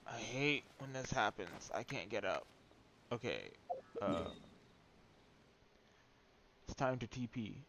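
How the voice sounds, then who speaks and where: A man's voice: mid-range voice, lively intonation, slow, long pauses, a bit bright, a little hiss or hum. A man streaming a video game with casual, sarcastic, teasing asides at the story. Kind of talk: casual live commentary over gameplay.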